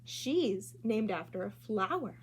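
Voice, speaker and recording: A woman's voice: mid-range voice, sing-song, takes it slowly, slight background noise. A woman voicing a mocking, self-important little schoolgirl in a children's storybook, with a sing-song, taunting delivery. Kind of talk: children's storybook read-aloud.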